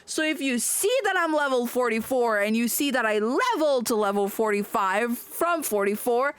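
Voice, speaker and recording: A woman's voice: fairly high pitch, expressive, moderate pace, fairly bright tone, smooth, dry recording. A woman livestreaming a game, giving casual commentary with sweary, exasperated venting. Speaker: livestreamer playing an online game. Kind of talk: casual gaming stream commentary.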